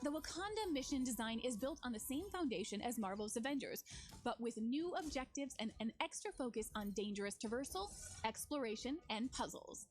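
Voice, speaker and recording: A woman's voice: on the high side, lively intonation, brisk. A woman giving scripted promotional narration as a game developer presenting new features. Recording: music under the voice. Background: music.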